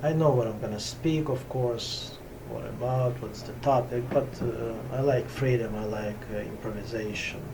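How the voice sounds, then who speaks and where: A middle-aged man, a yoga teacher talking unscripted to camera in a small home ashram. He speaks haltingly, pausing often to find words, with many fillers. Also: mid-range voice. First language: Russian. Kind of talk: slow unscripted talk to camera. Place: small hot room in a Brooklyn home ashram. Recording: camera from a distance with steady background noise.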